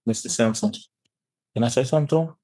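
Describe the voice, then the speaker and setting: A man speaks as a defendant at a hearing. His voice is mid-pitched, and he interjects in protesting, colloquial language. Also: fast talker, dark tone.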